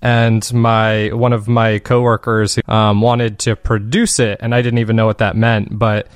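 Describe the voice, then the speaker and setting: A man with a lowish voice talks casually as an interview guest, halting and correcting himself as he goes. The sound is dry, with no room echo. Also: level tone, clean tone. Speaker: fiction podcast maker, interview guest.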